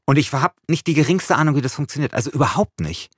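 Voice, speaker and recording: A man's voice: a little high, big pitch swings, fast talker, fairly bright tone, husky, no room sound, studio quality. A man hosting a podcast, moving between scripted narration and casual chat. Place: small radio studio. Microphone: studio microphone.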